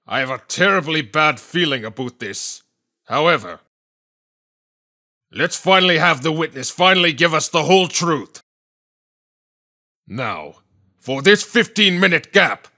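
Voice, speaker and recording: A man's voice: on the high side, very animated, measured pace, long pauses, pushed and bright, heavily acted. A man voicing a solemn, puzzled elderly judge in a video game dub, speaking formally. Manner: formal, pronouncing. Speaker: fan dub voice actor.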